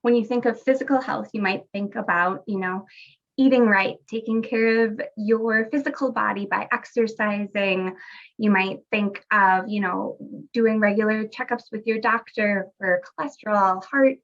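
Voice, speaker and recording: A woman's voice: medium pitch, steady tone, moderate pace, fairly bright tone. A woman presenting a webinar in a friendly, conversational way, recovering from a cold, heard over a video call. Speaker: guest presenter, parent educator. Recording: muffled lo-fi video call audio.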